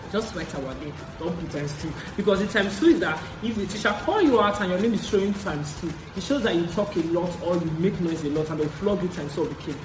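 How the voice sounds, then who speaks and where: A young woman with a Nigerian accent tells personal stories in a casual, chatty vlog style, repeating phrases for emphasis. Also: lowish voice. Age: young adult. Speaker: vlogger. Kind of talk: casual confessional storytelling. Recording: echoey room with clear background noise.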